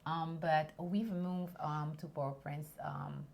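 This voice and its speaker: A woman's voice: low-pitched, steady tone, slow, creaky, darkish tone. A woman from Haiti in her thirties, a guest attorney talking through her story with frequent fillers and restarts. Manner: thoughtful, many fillers and restarts. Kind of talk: interview conversation.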